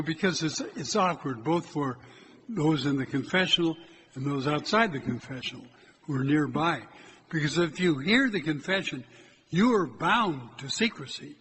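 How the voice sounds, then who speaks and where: Older priest preaching in an echoey church. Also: male voice, a little hiss or hum. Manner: loud, hard time keeping his voice low. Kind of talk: church exhortation.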